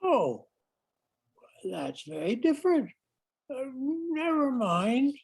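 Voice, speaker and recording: A woman's voice: sits high, sing-song, vocal fry, a little mellow, slight background noise, full character voice. A woman playing a guileless, hard-of-hearing hundred-year-old man in a radio comedy play, talking in a muddled, elderly way. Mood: bewildered. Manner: slow, muddled elderly talk.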